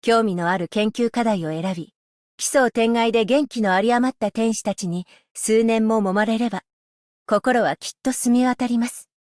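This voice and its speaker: A voice actress playing a stern, dutiful female magistrate-scholar, speaking in a formal, measured and politely stern way for a game's voice lines. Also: a little high, darkish tone, no echo, studio quality, close to an everyday voice. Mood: composed. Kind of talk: game character voice lines. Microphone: close studio mic, dry.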